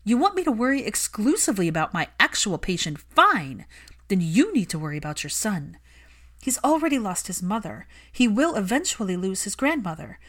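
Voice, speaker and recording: An outspoken, indignant woman performed by a female reader argues with raw emotion in a dramatic story. Her pitch rides high and moves widely as she presses each point emphatically. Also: big pitch swings, moderate pace, a bit bright. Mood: angry, tearful. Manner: emphatic, impassioned, argumentative.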